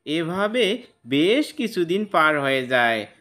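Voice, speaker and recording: A narrator reading a scripted children's cartoon story in a deliberate storytelling manner. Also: medium pitch, very animated, pushed and bright.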